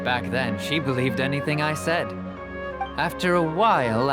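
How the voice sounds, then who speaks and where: Jovial travelling salesman, theatrical and swooping delivery, anime game dub over clear background music. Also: medium pitch. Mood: candid, reflective. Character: glib, jovial travelling merchant man, a persuasive storyteller.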